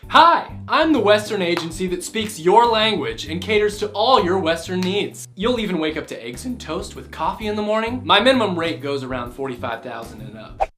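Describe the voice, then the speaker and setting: A man doing a slick, high-voiced Western sales agent. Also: big pitch swings, normal speed, sharp tone, full character voice. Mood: salesy. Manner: smooth salesman pitch. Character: slick pricey male Western trek agent.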